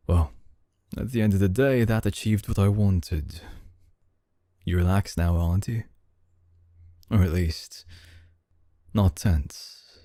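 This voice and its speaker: A man playing a suave, dominant, flirtatious CEO in an intimate ASMR roleplay, speaking in a teasing, deliberate way. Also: warm tone, no echo, studio quality. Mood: teasing, controlling. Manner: slow, teasing, deliberate, with low chuckles. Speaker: ASMR voice actor.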